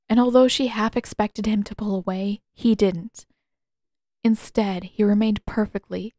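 A woman's voice: medium pitch, dark tone, no echo. Woman narrating drama in a dry recording. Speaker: narrator and author. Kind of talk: expressive audiobook narration of fan fiction.

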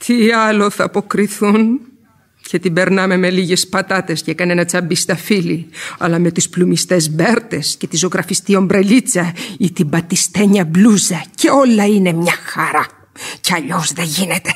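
A woman's voice: lowish voice, breathy edge. An actress playing a garrulous, complaining, impoverished widow and mother in a radio play, lamenting at length. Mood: aggrieved lamenting. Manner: plaintive, long-winded lamenting. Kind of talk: radio theatre acting in Greek.

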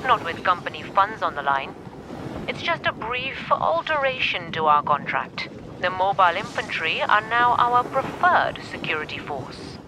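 An actor playing a cold, officious corporate director in a video game, speaking in a formal, condescending way. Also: male voice, high-pitched, big pitch swings, moderate pace, background noise. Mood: cold, stern.

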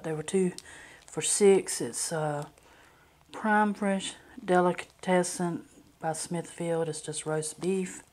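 A woman talking casually and plainly as she narrates a grocery haul on a handheld camera at home. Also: fairly low pitch. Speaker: home vlogger. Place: indoors at a kitchen or dining table. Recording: noisy home recording, crinkling packages. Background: crinkling packaging, clicks, background noise.

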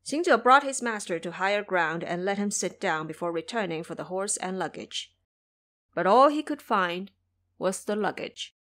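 A woman narrating a scripted audio drama in an expressive, literary storytelling manner. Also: medium pitch, normal speed.

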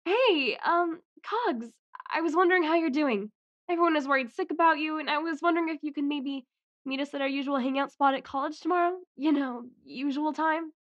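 A woman playing a worried, gentle college friend, tentative and concerned, in an animated roleplay drama. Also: high voice, brisk, muffled recording.

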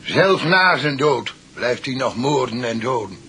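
An actor playing a polite, secretive farmer in a Dutch radio drama. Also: male voice, mid-range voice, lively intonation, measured pace, bright, forceful tone. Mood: grim. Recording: distant, slightly noisy radio drama recording.

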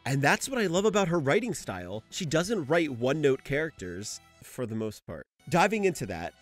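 Animated male video essayist, casual. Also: a little high. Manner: informal, conversational, mildly sweary. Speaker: video essayist, series host. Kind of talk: casual scripted commentary.

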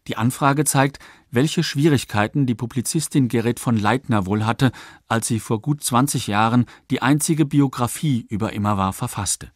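Casting: male documentary narrator, mid-pitched, articulate and measured, close dry studio. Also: no room sound. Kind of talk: scripted radio documentary narration.

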